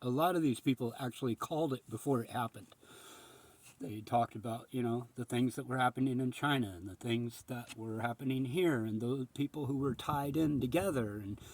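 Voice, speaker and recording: A husky male voice in a dry, close setting, speaking as a spiritual teacher thinking aloud. He is earnest and contemplative, rambling through his reflections and leaning on pauses for emphasis. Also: mid-range voice, darkish tone, no room sound.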